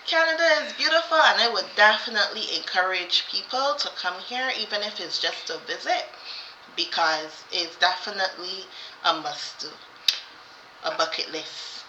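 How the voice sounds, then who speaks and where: A woman's voice: mid-range voice, expressive, moderate pace, pushed and bright, a little hiss or hum. A woman chatting casually as co-host, rambling and repeating herself with many fillers and restarts. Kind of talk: casual chat. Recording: roomy, slightly dull sound.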